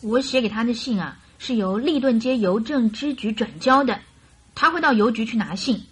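An actress playing a chatty, naive and carefree young bride in a radio drama, with girlish, animated complaining and coaxing. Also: on the high side, moderate pace, husky. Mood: open, naive.

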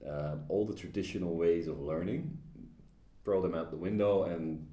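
A man, a panelist in a discussion, talking in a measured way and thinking aloud. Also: low-pitched, dark tone, reverberant. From Netherlands. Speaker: panelist, freelance digital analyst. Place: meeting room.

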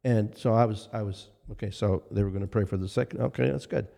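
An older man, a minister, recalling ministry history in a casual interview, speaking haltingly and repeating phrases for weight. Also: lowish voice, creaky, mellow. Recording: long reverberant hall echo.

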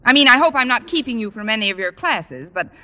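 A wisecracking schoolteacher. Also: female voice, on the high side, animated, quick pace, pushed and bright, muffled recording. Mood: wry. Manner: dry, wisecracking. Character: witty wisecracking female high school teacher. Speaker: lead and narrator. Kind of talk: 1940s radio sitcom acting. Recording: noisy old radio broadcast.

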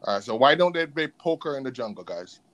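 A man hosting a podcast in casual banter, playful, animated and slangy as he interviews a guest. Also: mid-range voice, fast talker. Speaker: podcast host.

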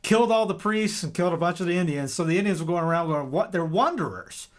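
A man's voice: high voice, lively intonation, fast talker. A man from South Carolina hosting a casual interview, chatty and joking as he rambles through stories. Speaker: host.

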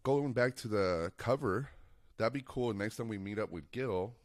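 A man co-hosting a casual podcast, stuffy from allergies, telling stories in a relaxed, rambling way with lots of fillers. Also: on the low side, moderate pace, dry recording, studio quality. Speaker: podcast co-host and piano player. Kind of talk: casual chat.

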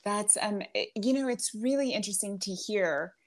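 A woman hosting an interview podcast, talking in an effusive way and quick to exclaim. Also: mid-range voice. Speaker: podcast host. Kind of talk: casual interview chat.

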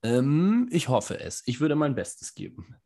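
A man from northern Germany co-hosts a casual podcast from his kitchen, his voice scratchy and husky from a cold. He talks in a dry, teasing way, full of little jokes. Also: medium pitch, very animated, measured pace, mellow. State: hoarse from a cold. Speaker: podcast co-host. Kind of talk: casual chat. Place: home kitchen. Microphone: home mic in a kitchen.

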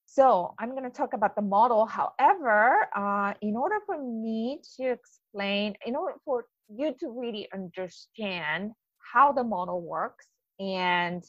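Female life coach, 40s, Japanese accent, unhurried hesitant delivery, muffled lo-fi sound. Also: mid-range voice, a bit bright, no room sound. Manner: hesitant, many ums, enthusiastic. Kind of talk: webinar presentation.

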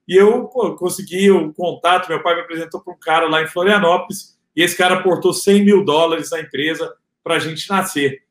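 A Brazilian man with a Rio de Janeiro accent, telling an entrepreneur's story in a relaxed, slangy way with lots of fillers. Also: a little high, moderate pace, few pauses. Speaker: entrepreneur guest. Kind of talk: casual interview storytelling. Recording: echoey room.

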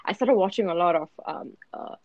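A woman's voice: lowish voice, brisk, dry recording, dull audio. Candid young Singaporean Indian woman. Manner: candid, frequent fillers and restarts. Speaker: podcast guest. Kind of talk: casual podcast chat.

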